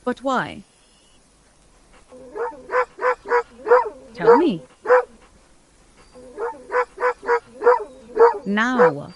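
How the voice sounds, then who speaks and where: A woman acting in a gothic radio drama as an innocent, curious young gentlewoman with a hesitant, polite way of speaking. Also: on the high side, huge pitch swings, takes it slowly, heavy background noise, heavily acted. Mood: hesitant, insistent.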